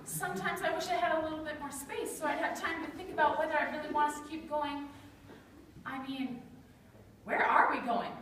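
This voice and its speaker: A woman's voice: high voice, fairly bright tone. A young woman playing an anxious, overthinking, romantic girlfriend in an earnest, melodramatic readers' theater comedy. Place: school chapel stage before an audience. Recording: very echoey hall, distant mic, faint noise.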